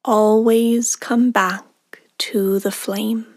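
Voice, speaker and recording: A woman leads a guided meditation in a fairly high but very even voice, soft and soothing. Her phrases are short and drift into creak and breath at the ends, with long pauses between them. The recording is close and dry. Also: dry recording.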